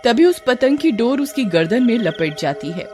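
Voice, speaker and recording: Lively, animated storytelling narrator. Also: medium pitch, normal speed. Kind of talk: scripted horror story narration. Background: music.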